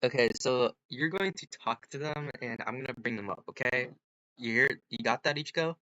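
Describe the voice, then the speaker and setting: A man with a bright, forceful voice hosts a casual vlog. He talks in a halting, unscripted way, addressing a chatbot as he goes. The recording is dry and somewhat dull. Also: medium pitch, no echo. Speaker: host of an online character-chatbot video.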